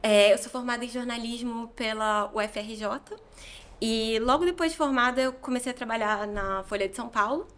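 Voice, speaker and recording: A woman's voice: fairly high pitch, normal speed, fairly bright tone. A woman in her thirties from Rio de Janeiro, with a carioca accent, talking casually as a journalist guest on a podcast, telling her story in a chatty, self-deprecating way. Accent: Rio de Janeiro Brazilian Portuguese. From Brazil, Rio de Janeiro. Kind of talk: relaxed interview conversation.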